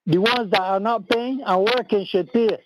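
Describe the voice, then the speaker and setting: A man's voice: sits high, moderate pace, noisy background. An older man with a Bajan accent, chatting casually as a caller to a radio show. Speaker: caller, pensioner. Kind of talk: casual phone-in chat.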